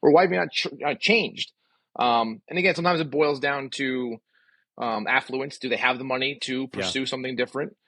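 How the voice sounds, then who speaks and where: A man's voice: medium pitch, a little fast, sharp tone, no room sound. A man in his forties talking casually and emphatically as a podcast guest, voicing strong opinions and often asking rhetorical questions. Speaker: podcast guest, physical therapist.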